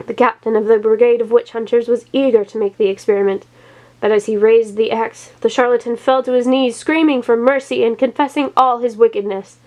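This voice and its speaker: A woman's voice: on the high side, fairly even intonation, brisk, a little mellow. A woman storyteller reading a children's tale aloud.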